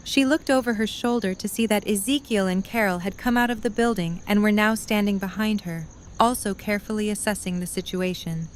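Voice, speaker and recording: A woman's voice: medium pitch, fairly quick, few pauses, slight background noise. A woman reading scripted story narration as the narrator of an audio drama.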